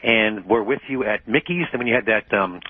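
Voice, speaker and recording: Chatty male caller, husky voice. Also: fairly low pitch, normal speed, no room sound. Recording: muffled radio call-in audio.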